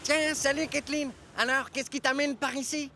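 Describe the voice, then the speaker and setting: A woman's voice: high voice, steady tone, moderate pace, bright, forceful tone, slight background noise. A woman voicing a friendly, joke-loving little male locomotive in a children's cartoon. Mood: cheerful.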